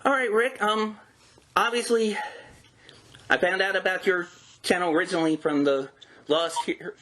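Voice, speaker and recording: A man's voice: high voice, fairly even intonation, normal speed, pushed and bright. A man hosting an interview show, talking in a chatty, informal way. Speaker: host. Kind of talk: podcast interview and show introduction. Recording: roomy echo with clear background noise.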